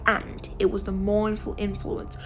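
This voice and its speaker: A woman's voice: mid-range voice, monotone, unhurried, a little mellow, lightly acted. Deranged gothic murderer played by a woman, theatrical and gloating, slipping into whispers, music underneath. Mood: dark, gloating. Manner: theatrical, often dropping into breathy whispers. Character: deranged, gloating murderer confessing while insisting on sanity. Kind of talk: dramatic gothic story reading.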